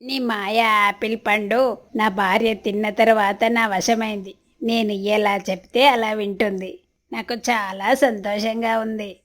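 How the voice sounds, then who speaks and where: A woman's voice: medium pitch, steady tone, unhurried, a bit bright, close to an everyday voice. A woman voicing a lazy, scheming crow husband in a children's cartoon, with a melodramatic, whiny manner. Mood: pleased. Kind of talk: children's cartoon story voice acting.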